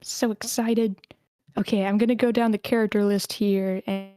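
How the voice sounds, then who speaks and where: A woman's voice: mid-range voice, level tone, normal speed, warm tone, clean tone, no echo, clean studio sound. A woman hosting a screenplay table read, switching between informal, encouraging chat and narration of stage directions. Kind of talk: casual hosting and script narration.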